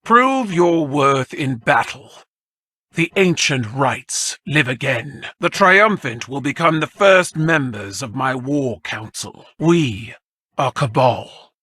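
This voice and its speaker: Game casting: imperious alien war leader, measured and sharp, solemn battlefield oratory, dry sound. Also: male voice, mid-range voice, expressive, slightly rough, no echo, heavily acted. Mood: rousing pride. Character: proud, commanding alien warlord addressing soldiers. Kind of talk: dramatic video game voice acting.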